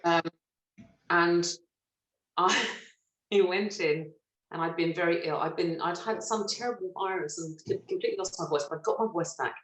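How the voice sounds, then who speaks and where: A middle-aged woman from Britain, a stage actress telling lively, self-mocking stories in an interview and laughing often. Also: fairly low pitch, normal speed, pauses often. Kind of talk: relaxed anecdotal interview chat. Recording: roomy echo.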